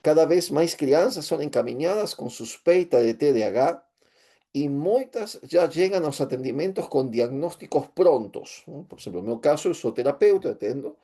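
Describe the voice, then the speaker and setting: A man with an Argentine Spanish accent, a native Spanish speaker, giving an online lecture in a hesitant way with many fillers and pauses. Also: fairly high pitch, expressive, mellow. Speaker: teacher and therapist. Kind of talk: online lecture with shared screen.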